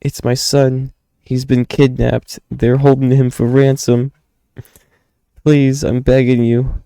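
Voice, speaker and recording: A man's voice: medium pitch, level tone, slow pace, creaky, mellow, clear, resonant tone, no room sound, voice pushed up. A man voicing a desperate prospector father who begs for help in an urgent, imploring way. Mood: desperate pleading.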